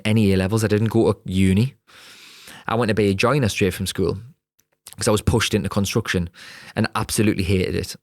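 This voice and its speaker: A man's voice: lowish voice, no room sound, clean studio sound. Blunt Geordie man in his thirties. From Newcastle upon Tyne, England. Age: 30s. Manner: blunt, streetwise, laughs mid-sentence. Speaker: podcast host. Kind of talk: candid personal storytelling.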